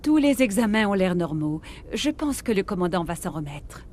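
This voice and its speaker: An actress playing a calm, middle-aged military ship's doctor, speaking in a clinical, matter-of-fact way in game dialogue. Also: female voice, medium pitch, moderate pace, slight background noise, lightly acted. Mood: reassuring. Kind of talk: dramatic video game dialogue.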